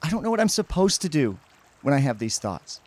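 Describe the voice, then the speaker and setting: A man's voice: fairly high pitch, very animated, darkish tone. An actor playing an anguished, devout teenage boy in an audio drama, full of self-loathing and stammering as he speaks. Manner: halting, stammering. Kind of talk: emotional audio drama acting.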